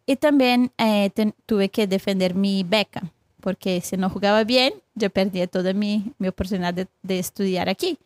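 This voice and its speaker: A woman's voice: mid-range voice, no echo. Brazilian woman speaking careful non-native Spanish. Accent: Brazilian Portuguese. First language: Portuguese. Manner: halting, searching for words, frequent restarts. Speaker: guest, accountability coach. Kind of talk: casual interview talk.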